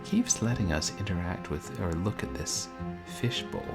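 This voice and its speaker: A man streaming a video game, chatting casually and soft-spoken with viewers, often chuckling. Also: sits low, lively intonation, normal speed, gravelly. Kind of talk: casual let's-play chat. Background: game music.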